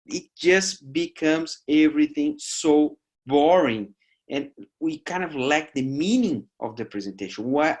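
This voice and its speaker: A man in his forties from Brazil, whose first language is Portuguese, teaching an online course in a lively way, often tagging lines with "right?". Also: medium pitch. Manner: lively, with emphatic rising asides and "right?" tags. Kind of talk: recorded lecture over slides. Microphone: webcam video call framing.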